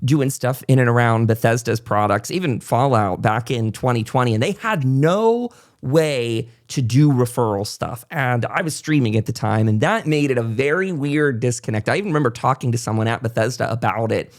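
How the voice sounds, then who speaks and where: A man's voice: medium pitch, lively intonation, normal speed, few pauses. A man hosting a casual, unscripted podcast in a chatty, informal manner. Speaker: podcast host.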